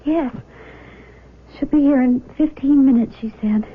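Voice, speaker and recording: An actress playing an anxious, stubborn young adopted daughter in a radio drama, with an American accent and a hesitant, nervous manner. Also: female voice, sits high, measured pace. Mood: scared. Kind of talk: 1970s radio drama acting. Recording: thin, phone-like, noisy vintage radio recording.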